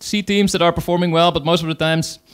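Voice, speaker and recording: A man's voice: fairly high pitch, steady tone, fast, clean tone. A man with a Dutch accent, speaking English as a second language, gives a conference talk as an agile coach, hesitant, with many fillers. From Netherlands.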